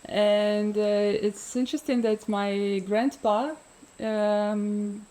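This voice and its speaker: A woman's voice: mid-range voice, fairly even intonation, slow pace, dark tone. A woman from Ukraine, likely in her thirties, speaking as an interview guest, hesitant with many fillers and frequent laughs. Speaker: freediver guest. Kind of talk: relaxed interview conversation.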